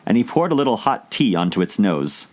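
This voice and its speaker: A man reading a children's story aloud as an audiobook narrator, in expressive scripted narration. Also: on the low side, brisk.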